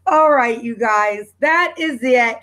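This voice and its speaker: A woman's voice: fairly high pitch, expressive, takes it slowly, fairly bright tone. A woman hosting a casual online book club panel, chatty and rambling, laughing easily, on a home computer mic during a video call.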